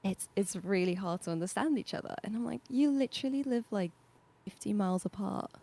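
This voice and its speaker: A woman's voice: mid-range voice, brisk, creaky, dark tone. A young woman from Belgium with a Flemish accent, chatting as a podcast guest, explaining patiently and laughing often. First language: Dutch. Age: 20s. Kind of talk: casual chat.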